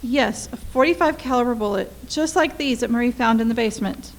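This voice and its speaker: A woman's voice: fairly high pitch, brisk, creaky. A woman playing an anxious, pleading wife in a radio play, urgent and insistent. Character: anxious, pleading young wife fearing murder.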